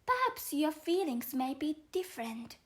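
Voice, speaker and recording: A woman's voice: sits high, very animated, unhurried, pitched above the performer's own voice. A woman voicing a polite, puzzled young girl in a storybook reading, speaking earnestly and carefully.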